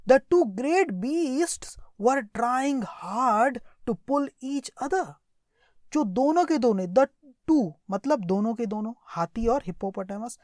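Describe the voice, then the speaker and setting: A woman's voice: a little high, darkish tone, no room sound, studio quality. A woman with an Indian accent teaching through a story, explaining it line by line and repeating herself with little check questions. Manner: explanatory, repetitive, with check questions and slowly read-out sentences. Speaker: English teacher. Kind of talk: line-by-line story lesson with translation and word explanations.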